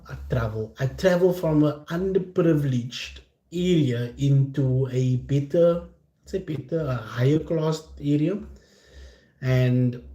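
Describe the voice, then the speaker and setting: A man from Cape Town with a Cape Flats accent, chatting casually and candidly to his livestream viewers in slangy, unscripted talk. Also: medium pitch. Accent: Cape Flats South African. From Cape Town, South Africa. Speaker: content creator hosting a chat livestream. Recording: roomy, echoing sound.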